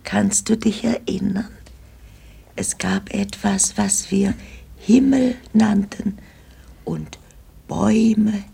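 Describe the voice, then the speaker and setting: An actress playing a frail, tender old grandmother in a radio drama, forgetful and resigned, her voice gravelly and half-whispered. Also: mid-range voice, steady tone, mellow, heavily acted. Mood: tender, musing. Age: older. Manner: slow, musing.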